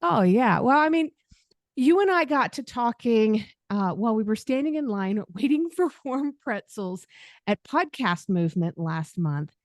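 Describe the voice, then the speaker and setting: A woman's voice: a little high, lively intonation, a little slow, no echo. A woman hosts a podcast in a chatty, easygoing way and laughs freely. The sound is close and dry, with no room around her. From Southern United States. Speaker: podcast host. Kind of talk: podcast interview, friendly chat.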